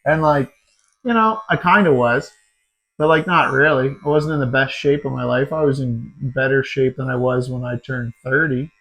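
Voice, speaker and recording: A man in his forties talking loosely on a podcast, with a mid-range voice. He tells animated stories, swears freely and keeps saying "dude" and "man". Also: medium pitch, moderate pace, low-quality recording. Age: 40s. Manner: swearing, animated storytelling, says dude a lot. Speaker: podcast host. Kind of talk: casual chat.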